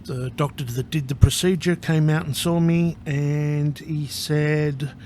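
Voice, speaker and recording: Man, mid-high voice, blunt and foul-mouthed vlogger, rambling and on edge. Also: fairly even intonation, measured pace, a little mellow, slight background noise. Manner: profane, rambling and candid, with frequent swearing; anxious and agitated. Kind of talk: casual personal vlog.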